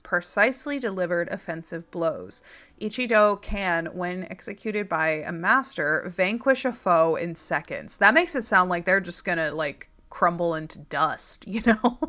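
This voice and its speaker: A young woman with a slightly raspy voice, reading game text aloud and joking about it as she plays. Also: mid-range voice, pushed and bright. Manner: chatty and wry, laughs often, reads text then jokes about it. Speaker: gaming channel host.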